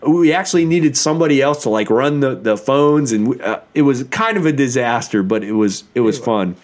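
A man's voice: medium pitch, expressive, brisk. A man hosts a casual podcast chat, his voice worn from a sore throat. He rambles enthusiastically, stacking up fillers as he goes. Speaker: podcast host.

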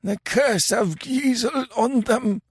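A man voicing a dying, mangled male sorcerer who raves for vengeance in a racking, inhuman croak, gasping and drooling. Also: very high-pitched, slow, no echo, clean studio sound, voice pushed up, exaggerated voice. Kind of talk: audiobook character voice.

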